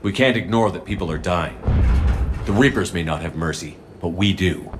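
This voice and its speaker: A man's voice: deep voice, normal speed, music in the background, close to an everyday voice. A man playing a resolute, principled military commander in a sci-fi game, speaking firmly and decisively. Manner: firm, decisive. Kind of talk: cinematic sci-fi game dialogue.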